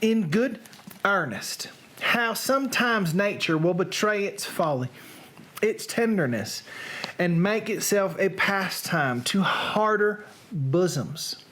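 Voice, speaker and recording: A reader voicing a musing Shakespearean nobleman and fond father in a put-on Southern American accent, with drawn-out theatrical phrasing. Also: medium pitch, animated, fairly bright tone. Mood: musing, nostalgic. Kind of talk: dramatic reading of Shakespeare verse.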